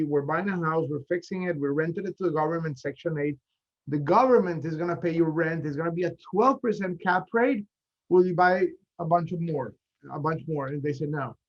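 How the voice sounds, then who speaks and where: A man's voice: fairly high pitch, a little mellow, highs cut off. A man from Colombia with a Colombian Spanish accent, a native Spanish speaker giving a conference presentation on real estate investing, full of fillers and self-corrections.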